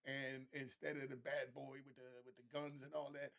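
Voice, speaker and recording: A man's voice: mid-range voice, level tone, moderate pace, gravelly, fairly bright tone. A man giving casual, off-the-cuff commentary in slangy, streetwise language, breaking things down in plain terms. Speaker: reaction commentator.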